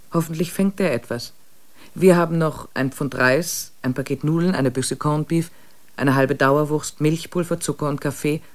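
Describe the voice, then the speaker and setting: A man narrating a wilderness expedition diary in a radio drama, measured and matter-of-fact. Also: mid-range voice.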